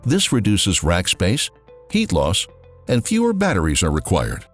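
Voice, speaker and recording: Man, scripted promo narration, animated intonation, lowish register, soft music underneath. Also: a little slow.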